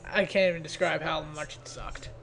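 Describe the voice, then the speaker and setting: A man's voice: on the high side, steady tone, normal speed, vocal fry, crowd noise. A man giving a casual, off-the-cuff commentary on his own videos in a self-deprecating, grumbling way. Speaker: creator of an abridged parody series.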